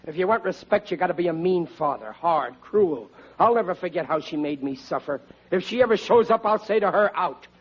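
An American man acting a plaintive, overwrought, self-pitying but soft-hearted middle-aged father in an old-time radio comedy. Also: sits high, expressive, brisk, few pauses, husky. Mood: stern, bitter. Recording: muffled old radio recording, studio audience, hiss.

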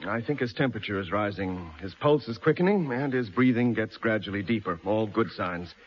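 An actor playing a measured, cautious doctor on a space crew in a vintage radio drama. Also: male voice, lowish voice, animated, natural voice. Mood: measured, hushed. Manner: measured, careful. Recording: muffled, noisy old radio recording.

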